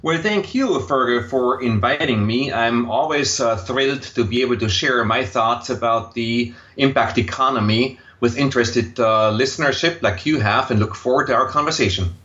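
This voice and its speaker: A man in his sixties speaks with an Austrian accent as a podcast guest. His pitch is average and he talks conversationally about himself, on a slightly dull, lo-fi recording. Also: moderate pace, few pauses, a bit bright. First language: German. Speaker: podcast guest, impact investor and former tech executive. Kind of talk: conversational personal account in an interview.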